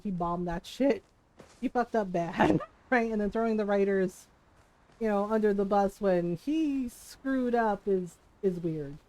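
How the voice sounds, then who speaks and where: A woman chatting casually on a live game stream in a candid, sweary, animated storytelling way, who grew up speaking Tagalog, English and Spanish. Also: mid-range voice, low-quality recording.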